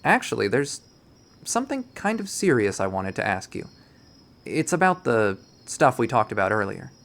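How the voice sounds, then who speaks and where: A man's voice: mid-range voice, sing-song, moderate pace, vocal fry, a bit bright. Man acting a nervous, earnest college guy. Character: nervous, earnest young male college student, awkwardly hopeful.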